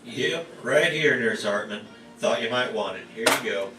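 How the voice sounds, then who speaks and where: A man's voice: mid-range voice, expressive, normal speed, lightly acted. A man playing an easygoing, helpful hospital worker in an amateur staged radio play. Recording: echoey, distant, faint music.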